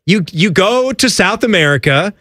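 A man's voice: sits high, expressive, moderate pace, a bit bright, no room sound. A man co-hosting a casual sports talk show, chatty and joking.

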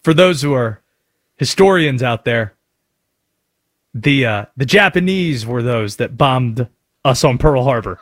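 A man with an American accent hosting sports talk in an emphatic, animated way, often asking rhetorical questions. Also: mid-range voice, measured pace, long pauses. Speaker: sports talk show host.

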